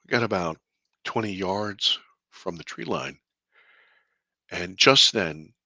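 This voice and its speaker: Deep-voiced male interview guest, earnest storyteller, low pitch, bright forceful tone. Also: gravelly, dry recording. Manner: earnest, halting with restarts, bursts of excitement. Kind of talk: conversational storytelling.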